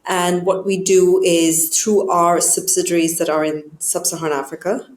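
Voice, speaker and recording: A woman's voice: on the low side, steady tone, normal speed, darkish tone, a little hiss or hum. A woman from southern India, explaining her work and career articulately in a conversational interview. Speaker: charity chief executive, interviewee. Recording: roomy, echoing sound.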